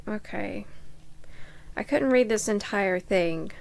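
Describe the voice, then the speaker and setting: Clear, smooth-voiced woman reading on a podcast. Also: medium pitch, takes it slowly, a little mellow, no room sound. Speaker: podcast co-host. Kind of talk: reading fan fiction aloud.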